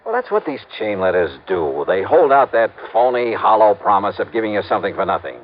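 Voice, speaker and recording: An American actor playing a skeptical insurance investigator in an old radio drama, wry, direct and emphatic, who also narrates. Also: male voice, fairly low pitch, big pitch swings, fairly quick, slight background noise. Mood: skeptical, exasperated. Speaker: lead character and narrator. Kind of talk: 1950s American radio drama acting, first-person narration. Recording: tinny, band-limited vintage radio recording.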